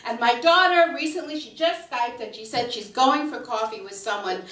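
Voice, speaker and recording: A sixty-five-year-old woman, delivering a theatrical comic monologue on stage in a dramatic, exasperated, halting manner. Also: fairly high pitch, a bit bright. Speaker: storyteller performing a comic monologue. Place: small indoor stage before an audience. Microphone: microphone on a stand. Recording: roomy live stage, distant, audience laughing. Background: audience laughter.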